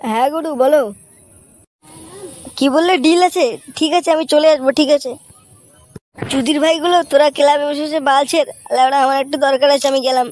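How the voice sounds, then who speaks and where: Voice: high voice, fairly even intonation, brisk, long pauses, slight background noise, close to an everyday voice. A performer voicing a cocky, foul-mouthed young son in a comic cartoon dub, with a brash, crude manner. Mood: boastful, brash.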